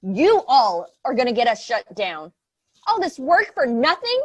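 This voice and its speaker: Casting: actress as alarmist woman in farce, very lively high voice, close dry sound, anxious and repetitive. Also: unhurried, no echo. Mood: panicked. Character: panicky, overanxious woman on theater board. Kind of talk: farcical comedy acting.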